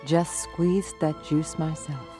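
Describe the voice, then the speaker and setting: An actress playing a mysterious, homely middle-aged cook in an audio drama, dreamily insistent and otherworldly. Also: female voice, low voice, fairly even intonation, takes it slowly, mellow, faint music behind. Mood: dreamy, hospitable.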